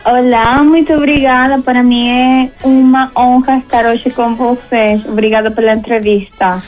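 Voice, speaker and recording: A woman singer from Venezuela answers interview questions conversationally. Her voice is fairly high with little rise and fall, and her manner is grateful and enthusiastic. Faint music plays behind her. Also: steady tone, moderate pace, a little mellow, low-quality recording.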